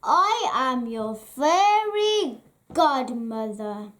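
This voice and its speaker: A woman voicing a kind, grand fairy godmother in a read-aloud storybook, declaiming brightly. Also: high voice, sing-song, extremely slow, heavily acted.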